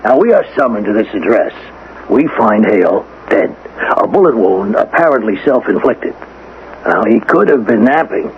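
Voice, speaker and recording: Shrewd, low-voiced police lieutenant, tinny radio. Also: male voice, big pitch swings. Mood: sly, insinuating. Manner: drawling pauses, insinuating, folksy rhetorical questions. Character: shrewd male police lieutenant, needling and suspicious. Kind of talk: 1970s radio drama acting. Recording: tinny vintage radio broadcast, faint noise.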